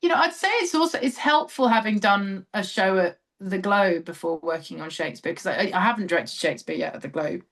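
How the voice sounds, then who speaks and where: A woman's voice: medium pitch, brisk, vocal fry, few pauses, a bit bright. A middle-aged British woman speaking reflectively as an interview guest, thoughtful and hesitant, often trailing off and rephrasing. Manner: thoughtful, hesitant, trailing phrases with frequent hedging. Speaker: theatre director, interview guest.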